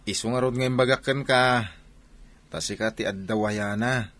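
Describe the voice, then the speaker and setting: An actor playing a stern, bossy older brother in a radio drama, insistent and reproachful. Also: male voice, on the low side, steady tone, normal speed, a bit bright, close to an everyday voice. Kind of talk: Filipino radio drama acting.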